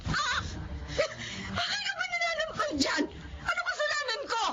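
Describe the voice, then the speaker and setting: A woman's voice: very high voice, expressive, moderate pace, raised voice, very noisy. An actress playing a guilty, frightened woman pleading with her betrayed friend in a Filipino radio drama.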